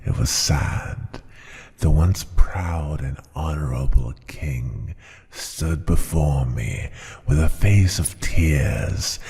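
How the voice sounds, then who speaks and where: A man voicing a proud, cruel dragon ruler in a fantasy ASMR roleplay, with a deep growl that often sinks to a breathy whisper, speaking in a deliberate, haughty, menacing way. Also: a little mellow, exaggerated voice.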